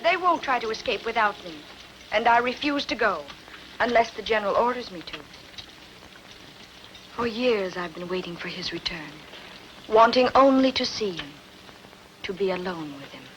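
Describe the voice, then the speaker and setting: A woman acting a proud, scheming queen in a dubbed historical epic, solemn and measured in her dramatic delivery. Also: medium pitch, lively intonation, a bit bright, slight background noise, lightly acted, poor audio. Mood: proud longing.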